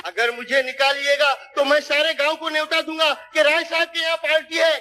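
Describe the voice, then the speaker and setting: A man playing an excitable, cowardly village busybody in a 1950s Hindi film comedy, chattering and pleading theatrically. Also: extremely high pitch, brisk. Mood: excited protest. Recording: noisy old film soundtrack.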